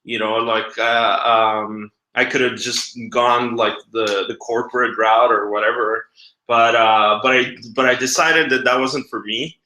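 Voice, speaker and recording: Guy from guatemala doing a casual webinar, chatty and slangy, sharp bright voice, echoey room. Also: medium pitch, steady tone, a little slow. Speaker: webinar host and marketing coach.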